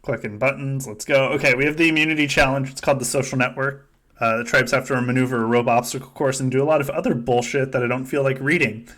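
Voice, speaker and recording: A man's voice: mid-range voice, steady tone, fast, a bit bright. A man hosting a casual podcast game, chatting and joking with frequent swearing and laughter. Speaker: podcast host. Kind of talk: casual chat running a simulated reality-show game.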